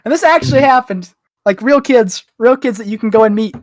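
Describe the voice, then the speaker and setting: A young adult man chatting casually as a podcast guest, with a joking, animated manner. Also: very high voice, fairly quick, dry recording. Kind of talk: casual chat.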